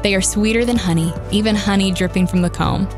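A woman reads scripture in a measured, reverent way at a medium pitch. Music plays clearly beneath her reading the whole time. Her pacing is steady and unhurried. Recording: reading over loud music.

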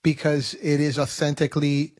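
A man talking through his family story in an earnest, halting way, with many restarts, as a guest on a radio interview. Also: fairly high pitch, level tone, a little mellow. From United States. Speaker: radio program host. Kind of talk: conversational interview.

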